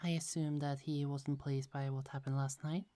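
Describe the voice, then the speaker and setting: A low, mellow female voice, as a woman acts it out, plays a gentle goddess who guards her precious apples. She speaks softly and earnestly and often stumbles before she finds her words. The tone stays warm and never turns sharp. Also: flat delivery, moderate pace. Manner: soft, earnest and a bit hesitant, with small stumbles. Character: gentle young goddess keeper of golden apples. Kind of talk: ASMR audio roleplay.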